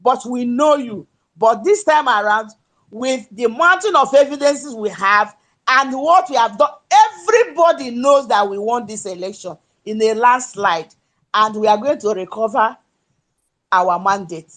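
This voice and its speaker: A Nigerian woman giving an impassioned political speech in an audio chat room, emphatic and at times shouting. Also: fairly high pitch, animated, unhurried. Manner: emphatic, rises to shouts, pidgin-tinged. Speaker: speaker in a political audio space.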